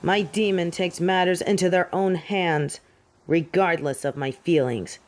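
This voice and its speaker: An actress playing a haughty, possessive fallen angel in an audio drama, with an imperious, cool and disdainful delivery. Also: female voice, fairly low pitch, steady tone, a little slow, darkish tone, natural voice. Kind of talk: dramatic audio roleplay acting.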